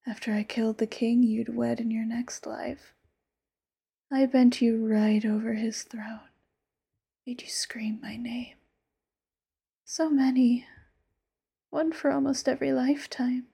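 A woman's voice: a little high, flat delivery, measured pace, long pauses, full character voice. A woman voicing an obsessive, possessive immortal lover in a whispered audio roleplay, sweetly menacing. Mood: teasing whisper. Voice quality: soft breathy whisper. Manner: intimate, sweetly menacing, sudden flares.

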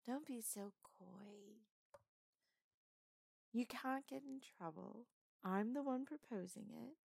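A woman voicing a flirtatious British woman who teases and seduces a coworker, in a put-on British accent and a sultry manner with soft whispers. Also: fairly high pitch, slow pace, creaky, husky, no room sound, studio quality, exaggerated voice. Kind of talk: audio roleplay.

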